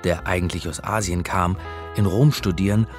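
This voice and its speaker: An actor playing a middle-aged scholar of religion who narrates a radio crime drama in an articulate, slightly pedantic way. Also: male voice, deep voice, steady tone, moderate pace, soft background music. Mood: chatty, self-assured. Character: bookish male scholar of religion narrating his story.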